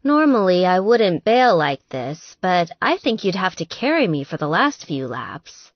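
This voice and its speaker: A woman's voice: lowish voice, big pitch swings, a little slow, no echo, dull audio. A woman voicing an eager, good-natured young girlfriend in audiobook dialogue, earnest, chatty and self-deprecating. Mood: weary, playful.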